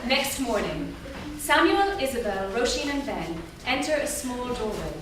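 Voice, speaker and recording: A woman's voice: on the high side, big pitch swings, unhurried. A woman narrating stage directions at a live staged script reading. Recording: echoey theatre, far mic, noisy.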